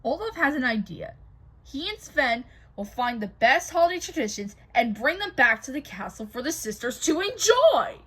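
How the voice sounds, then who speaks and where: A woman reading a children's storybook aloud in an expressive narrating style. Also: high-pitched, moderate pace, husky, rough recording. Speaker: co-host reading a picture book aloud.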